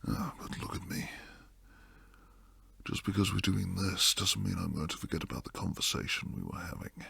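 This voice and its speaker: A man playing a protective, stern but secretly tender bodyguard boyfriend in an intimate roleplay ASMR, low and breathy, slipping into whispers. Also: no room sound, exaggerated voice. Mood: softening, intimate. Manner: slow, hushed delivery sliding into whispers. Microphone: close, dry microphone.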